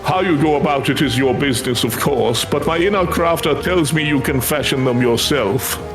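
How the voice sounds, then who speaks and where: A man's voice: fairly high pitch, normal speed, natural voice. A man voicing a courtly, earnest fantasy craftsman, devout and grateful, speaking quest dialogue in formal, flowery phrasing. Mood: courteous. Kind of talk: voiced reading of fantasy game quest dialogue. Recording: music behind the voice.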